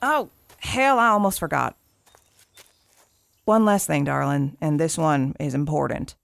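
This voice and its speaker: A woman playing a gruff, folksy older park warden who gives orders in colloquial style, in an audio drama. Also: low voice, measured pace, no room sound, clean studio sound. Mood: grave warning.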